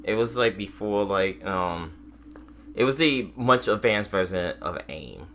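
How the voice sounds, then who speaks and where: A man's voice: fairly low pitch, expressive, unhurried, fairly bright tone, slight background noise. A man co-hosting a podcast, talking casually in a matter-of-fact way. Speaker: podcast co-host.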